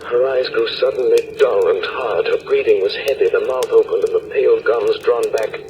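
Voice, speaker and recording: A man's voice: fairly low pitch, normal speed, darkish tone, natural voice. An actor playing an earnest, anguished doctor who narrates a horror tale from his diary in dramatic 1930s radio style, on an old noisy broadcast recording. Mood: grave, uneasy.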